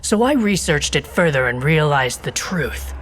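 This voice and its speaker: A woman's voice: sits low, big pitch swings, a little slow, heavily acted. A woman playing a determined, cool-headed secret agent in a spy video game, confident and matter-of-fact. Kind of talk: tongue-in-cheek spy game acting.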